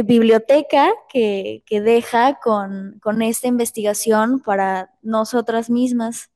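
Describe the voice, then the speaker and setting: Joven del público con acento mexicano. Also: female voice, mid-range voice, a little mellow, no echo. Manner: earnest, halting with repeated words. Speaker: audience member. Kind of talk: asking a question after a lecture.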